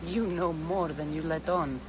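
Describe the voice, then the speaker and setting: A woman's voice: sits low, a little slow, noisy background. An actress playing a tough, wary young mercenary woman, dry and blunt, in a video game. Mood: suspicious, threatening. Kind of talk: video game cutscene acting.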